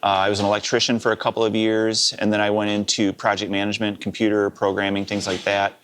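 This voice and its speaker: Wisconsin man in his fifties, sound engineer guest, low voice, easygoing and chatty. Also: quick pace, a little hiss or hum. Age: 50s. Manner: relaxed, rambling, with many "uh" and "you know". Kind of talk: casual interview storytelling.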